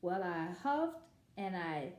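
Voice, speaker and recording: A woman voicing a chatty, self-justifying male wolf from a children's storybook, confiding and indignant with drawn-out emphasis. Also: lowish voice, very animated, darkish tone, a little hiss or hum. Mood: matter-of-fact. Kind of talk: children's storybook read-aloud. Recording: echoey room.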